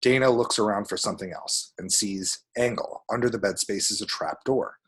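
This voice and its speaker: A man's voice: fairly low pitch, moderate pace, slightly rough. A man reading screenplay action descriptions aloud as dramatic, vivid narration at a table read.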